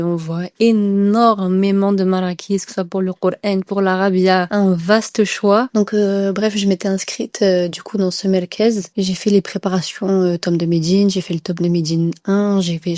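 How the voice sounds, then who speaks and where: Soft mellow young Frenchwoman, hesitant storyteller. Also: medium pitch, little pitch movement, normal speed, few pauses, no echo, clean studio sound. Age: young adult. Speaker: podcast guest. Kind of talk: casual conversational storytelling.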